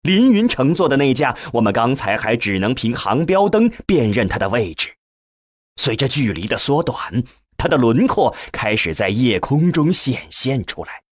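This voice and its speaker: A man reading a novel as a scripted narration, with measured, expressive storytelling and a husky voice. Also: medium pitch, no echo, clean studio sound. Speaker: audiobook narrator.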